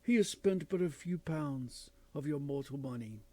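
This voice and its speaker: A man voicing a solemn ghost in a storybook reading, speaking softly and gravely. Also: on the high side, lively intonation, normal speed, dry recording.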